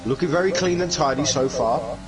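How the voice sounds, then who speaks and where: A man with a croaky voice, chatty and excitable, commentating live on a racing game league. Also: a little high, brisk, poor audio. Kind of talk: live esports race commentary.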